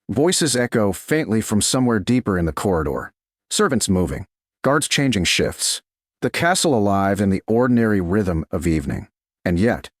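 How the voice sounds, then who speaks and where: A man's voice: lowish voice, no echo, studio quality. A man reading a romance story as a dramatic audiobook narrator, in short, weighted, suspenseful phrases.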